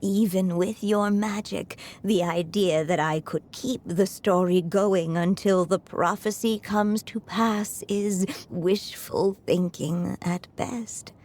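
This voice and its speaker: Woman acting elderly female writer, game drama, slow delivery, breathy, solemn, reflective, resolute, background music. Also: medium pitch, steady tone, darkish tone, natural voice. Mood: wistful, reflective. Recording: music under the voice.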